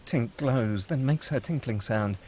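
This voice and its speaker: A man's voice: medium pitch, measured pace, a little mellow, slight background noise. A man reading screenplay action and scene headings as the narrator of a table read.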